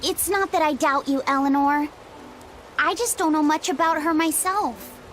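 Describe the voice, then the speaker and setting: A woman playing a gentle, earnest young boy in a video game, speaking softly and hesitantly. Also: high voice, moderate pace, slight background noise. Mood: shy, earnest. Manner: soft, hesitant, polite. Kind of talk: English video game voice acting.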